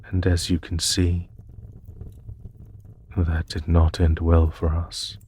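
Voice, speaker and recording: A man playing a solemn, wistful demon emperor in an audio roleplay, speaking in a husky voice with slow, measured, sorrowful pauses. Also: deep voice, monotone, warm tone, full character voice. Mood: bitter sorrow. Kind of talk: scripted audio roleplay monologue.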